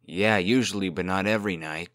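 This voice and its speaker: A man's voice: low voice, brisk, a bit bright, no echo, studio quality. A man playing a cocky, glib and defensive young fairy-tale trickster in a comic dub drama.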